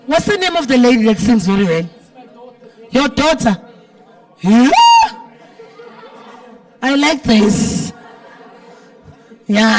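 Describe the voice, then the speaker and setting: A woman with a South African accent and a big, heavy-timbred voice, hosting a live event with chatty, teasing, informal banter. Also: on the high side. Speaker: event host. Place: event venue with a dinner audience. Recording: live event, distant from the mic.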